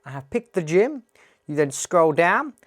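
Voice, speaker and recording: A man's voice: a little high, sing-song, a little slow. A man presenting a tech tutorial, explaining a demo in a plain-spoken, step-by-step way.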